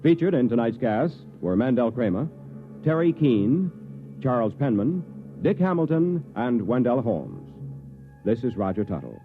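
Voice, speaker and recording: A man's voice: mid-range voice, very animated, a little slow. Tinny vintage male radio announcer over music. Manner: formal announcer delivery. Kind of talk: 1950s scripted radio credits.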